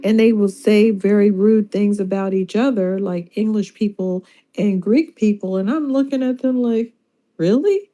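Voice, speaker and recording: A woman co-hosting a talk show, telling her point in a relaxed, conversational way. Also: mid-range voice, normal speed. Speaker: co-host. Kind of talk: casual talk show chat.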